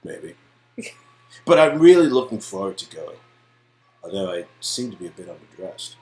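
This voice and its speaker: A man plays a playful young guy from a romance game. His voice swings widely in pitch as he teases and feigns surprise, with music behind him. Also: big pitch swings, normal speed, a little mellow, rough recording. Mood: mock surprise. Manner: teasing, mock-surprised.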